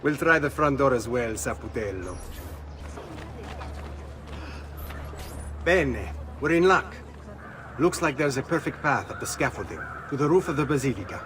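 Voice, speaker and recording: An actor playing an earnest, idealistic Venetian thief leader with an Italian accent, speaking rhetorically in a video game. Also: male voice, mid-range voice, animated, a little fast, background noise, natural voice. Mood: eager. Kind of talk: dramatic video game acting.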